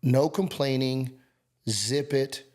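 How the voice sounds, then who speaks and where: Guest, man, 40s, mid-pitched, upbeat, energetic and casual. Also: slow. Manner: upbeat, energetic, restarts and slang. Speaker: podcast guest. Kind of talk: casual interview chat.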